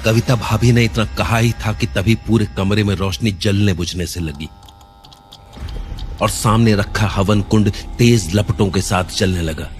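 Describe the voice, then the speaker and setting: A man's voice: fairly low pitch, a little slow. A man narrating a cartoon horror story in a scripted, dramatic and suspenseful way. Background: faint background music.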